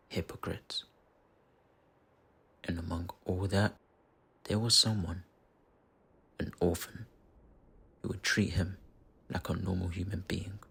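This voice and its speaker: A dark possessing spirit acted by a man speaks very low with a heavy, creaking rasp. The delivery is husky and theatrical, taunting and deliberate, with dramatic pauses. It is a menacing, bitterly obsessive villain from a fantasy audio drama. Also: exaggerated voice. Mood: brooding storytelling. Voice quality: gravelly, husky.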